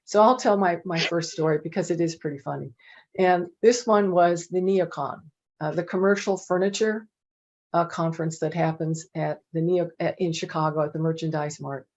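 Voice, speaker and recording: A woman's voice: on the low side, moderate pace. Chatty woman on a muffled video call. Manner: chatty, animated, many "you know" fillers. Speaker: panel presenter. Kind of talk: casual anecdotal storytelling. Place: home office with bookshelves. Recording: dull lo-fi video call audio.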